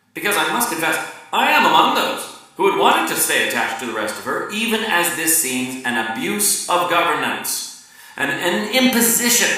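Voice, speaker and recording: A man giving a dramatic literary reading like a raconteur's monologue, theatrical and deliberate with his pauses. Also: on the high side. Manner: theatrical, deliberate pauses, swinging from hushed to emphatic. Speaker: reader performing a novel excerpt. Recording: echoey room, distant from the mic.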